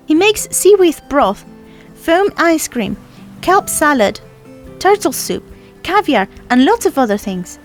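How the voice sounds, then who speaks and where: Woman voicing a tiny, high-voiced chatty sea girl. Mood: eager, proud. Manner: chatty, childlike, matter-of-fact boasting. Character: tiny lively girl from the sea, proud and affectionate. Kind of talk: storybook character voice.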